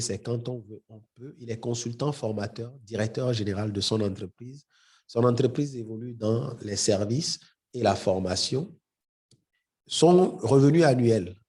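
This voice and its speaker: Middle-aged guy with a cold, husky dark voice, stumbles and repeats words. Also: medium pitch. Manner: hesitant, repeats words often; addresses the audience directly. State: has a head cold. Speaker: webinar trainer. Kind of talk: live marketing webinar.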